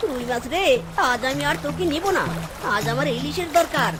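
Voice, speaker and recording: A woman's voice: high voice, animated, brisk. A woman voicing a stubborn, boastful male fox fisherman in a children's cartoon, exclamatory and repetitive. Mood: indignant, determined. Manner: exclamatory, repetitive street-hawker calls. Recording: loud music and crowd sounds behind.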